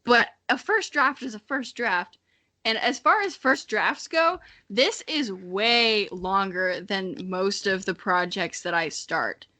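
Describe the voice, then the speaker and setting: A young woman with a bright, forward voice co-hosts a podcast. She talks in a casual, chatty way and is self-deprecating and giggly, with a loose tongue for swearing. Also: medium pitch, expressive, takes it slowly. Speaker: podcast co-host. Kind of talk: casual chat.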